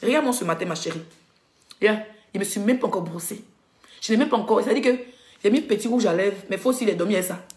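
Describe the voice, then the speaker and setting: A woman's voice: mid-range voice, fast, a little mellow. A woman blogger ranting indignantly on a live stream, coughing with a cold and addressing her viewers directly. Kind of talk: heated rant on a live stream. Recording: echoey room.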